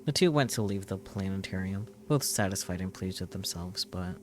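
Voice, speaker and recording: A man streaming a visual novel, reading its narration aloud in a deliberate storytelling way. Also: low-pitched, lively intonation, a little hiss or hum.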